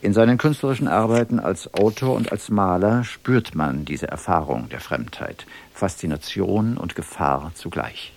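A man with a very low voice introduces a radio programme. He reads from a script as an announcer, formal and careful in his phrasing. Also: normal speed, vocal fry, hardly any pauses, a little mellow, slight background noise.

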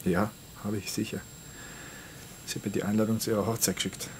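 A man's voice: on the low side, level tone, brisk, slight background noise, lightly acted. An actor playing a wry, self-assured young man in a radio drama, talking dryly and casually. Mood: dry, bitter.

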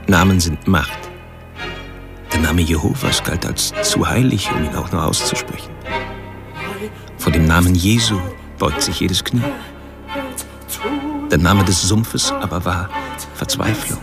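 An actor playing a solemn, philosophising killer, speaking an intense, declamatory inner monologue in a radio drama. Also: male voice, low voice, measured pace, gravelly, pauses often. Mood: solemn, ominous. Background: music.

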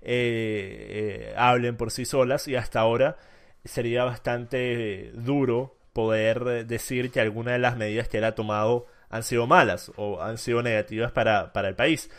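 A man's voice: mid-range voice, fairly even intonation, normal speed, smooth. A man from Venezuela hosting a debate, arguing assertively and emphatically. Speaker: debate host. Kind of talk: casual debate.

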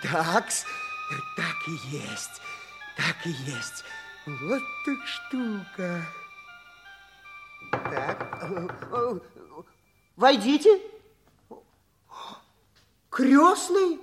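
Excitable, high-voiced absent-minded scientist. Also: male voice, very slow, long pauses, music in the background, exaggerated voice. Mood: muttering, surprised. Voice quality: high-pitched, piping voice. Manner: exclaiming, repeating his phrases, humming to himself. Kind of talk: 1960s radio play acting.

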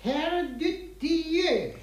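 Halting, unsettled office director, widely swinging pitch. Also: male voice, sing-song, roomy sound, heavily acted, rough recording. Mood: shaken, suspicious. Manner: halting, stiffly formal. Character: shaken, suspicious male office director, faltering and formal. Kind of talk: 1950s German radio play acting.

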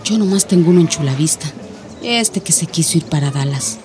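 A woman's voice: deep voice, animated, a little fast. An actress playing a gossipy, watchful middle-aged Mexican village mother in a radio drama. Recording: music behind the voice.

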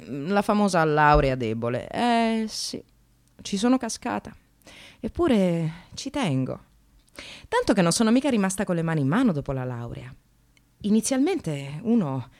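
Bitterly ironic precarious woman, expressive swings. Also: low-pitched. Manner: sardonic, emphatic, confessional. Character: precarious receptionist, disillusioned separated mother, bitterly ironic. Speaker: actress narrating a monologue. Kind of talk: theatrical radio drama monologue.

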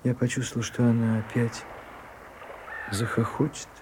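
An actor playing a shy, prim gentleman in a radio play, confiding haltingly and easily flustered. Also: mid-range voice, level tone, close to an everyday voice. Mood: hurt, indignant. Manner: hesitant, halting, bashful. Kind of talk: Russian radio play acting. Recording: muffled Soviet-era radio recording, some hiss.

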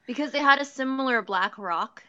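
A young woman with a bright, forceful tone recaps TV plots frankly, peppering her talk with "like". Her audio is muffled and lo-fi, with a dry, close sound. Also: high voice, clear, resonant tone, dry recording. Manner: quick, frank storytelling with "like" fillers. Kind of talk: casual chat about TV shows.

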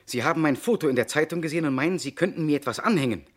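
A man's voice: a little high, rapid-fire, natural voice. An actor playing a troubled, insistent accused man in a crime radio drama. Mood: defensive, indignant. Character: accused male driver Latour, troubled, insistent, indignant.

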